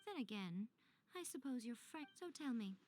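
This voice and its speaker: A woman's voice: on the high side, sing-song, normal speed, a little mellow. A woman voicing a sly, elegant shrine priestess in a video game, coy, playful and a little haughty. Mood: coy, teasing.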